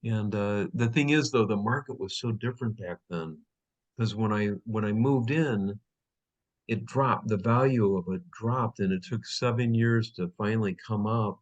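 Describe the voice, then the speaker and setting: A man's voice: lowish voice, moderate pace, muffled recording. Rambling middle-aged male host. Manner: rambling, many fillers. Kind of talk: interview host chat.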